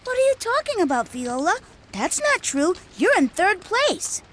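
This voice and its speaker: A woman's voice: very high voice, big pitch swings, normal speed, a bit bright, heavily acted. A woman voicing an earnest, chatty little boy in a video game dub. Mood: placating. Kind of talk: anime-style video game dub acting.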